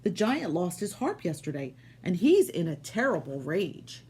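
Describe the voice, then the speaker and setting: A woman reading aloud, voicing the giant's wife, a kindly but bossy woman who talks briskly. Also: lowish voice, very animated, a little mellow, a little hiss or hum. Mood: urgent.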